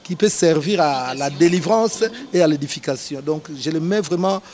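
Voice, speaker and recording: A man from Congo, a pastor answering interview questions in a measured, preacherly way, with filler pauses. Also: on the high side, darkish tone, faint music behind. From Democratic Republic of the Congo.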